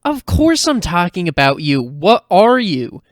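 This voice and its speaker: A woman acts out a scared, defiant girl in a fantasy audio drama. The delivery is slow and swings widely in pitch, blunt and sweary. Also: big pitch swings, no room sound, studio quality. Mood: alarmed. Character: foul-mouthed, frightened, defiant human girl.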